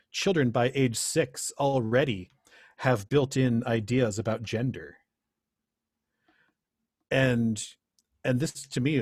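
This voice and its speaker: A man's voice: medium pitch, a little mellow, no room sound, clean studio sound. A middle-aged man giving an informal workshop presentation in an earnest, reflective manner. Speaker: presenter, teacher.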